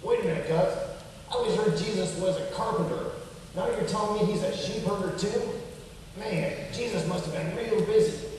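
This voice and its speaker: A distant, heavily echoing recording of a wisecracking puppet guy played by a man. He talks high for a man, slangy and skeptical, with a sarcastic edge suited to a children's puppet show. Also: moderate pace, darkish tone, close to an everyday voice. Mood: skeptical, indignant. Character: sardonic, gruff-minded, wisecracking male puppet. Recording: echoey hall from far off, faint noise.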